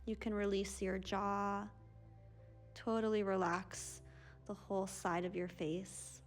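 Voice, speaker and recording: A young woman teaching a yoga stretch class in a soft, sleepy, gentle voice, recorded by a camera across a small room. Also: mid-range voice, level tone, takes it slowly, soft background music. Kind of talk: guided yoga class instruction. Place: small pink-lit room, indoors.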